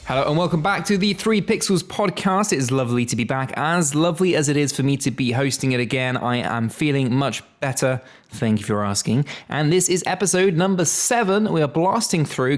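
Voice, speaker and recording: A man presenting a tech podcast as host, chatty and upbeat in a semi-scripted style. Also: mid-range voice.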